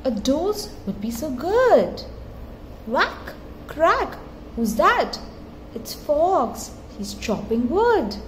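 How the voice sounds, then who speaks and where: A woman reading a picture book aloud to a small child in an expressive, singsong, encouraging way, pausing to give simple prompts. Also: high voice. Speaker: mother reading to her toddler. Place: tiled floor in a room at home. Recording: clearly noisy home phone recording.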